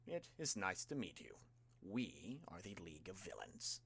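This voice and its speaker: A composed male villain made of mist, read by a man, in an audiobook. He speaks in a lowish voice with formal, deliberate courtesy. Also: huge pitch swings, vocal fry, a bit bright, breathy edge, heavily acted. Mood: politely menacing.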